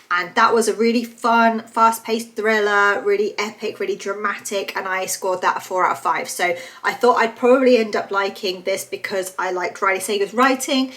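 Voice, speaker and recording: A woman's voice: medium pitch, a bit bright. A young woman vlogging a casual, enthusiastic book review with lots of fillers, a little congested from hay fever. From United Kingdom. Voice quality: slightly stuffy, nasal. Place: bright room at home.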